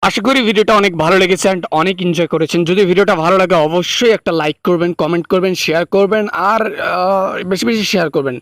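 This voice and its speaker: A man rattles along extremely fast as a video host. He addresses viewers directly, and the sound is very dry. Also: high voice, fairly bright tone, no room sound. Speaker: channel host.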